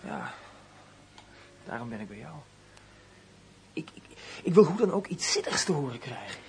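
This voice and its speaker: A distraught grown son, a man with a fairly high voice. He speaks haltingly and with agitation, in radio drama style. The recording carries some faint noise. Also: sing-song, vocal fry. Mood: dejected, wounded. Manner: agitated, halting, hushed then bursting out. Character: distraught, doubting adult son of an accused man. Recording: noisy radio play recording.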